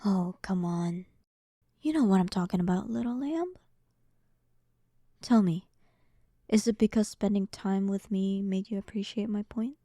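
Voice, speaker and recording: A woman playing a powerful demon queen in an audio roleplay, dominant, sarcastic and playfully condescending, often dropping into breathy half-whispers. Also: mid-range voice, a little slow, pauses often, mellow, no echo, studio quality. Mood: teasing, condescending. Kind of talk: scripted audio roleplay addressed to the listener.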